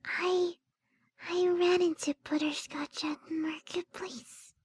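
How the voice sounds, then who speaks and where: A woman voicing a timid, gentle female pegasus pony with a hesitant, mumbling manner, in a scripted story reading. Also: very high voice, flat delivery, slow. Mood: nervous, mumbling.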